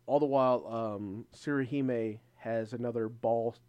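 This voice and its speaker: Halting, informal man in casual chat. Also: mid-range voice, fairly even intonation, no echo, lo-fi sound. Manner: halting, searching for words, informal. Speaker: podcast co-host. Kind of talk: casual chat, episode recap.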